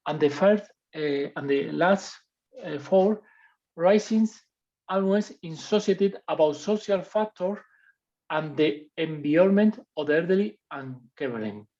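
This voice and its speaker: A woman from Spain, a non-native English speaker with a Spanish accent, reads out a prepared list as a workshop panelist, haltingly restarting over English words. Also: deep voice, mellow. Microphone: video call. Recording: muffled lo-fi video call audio.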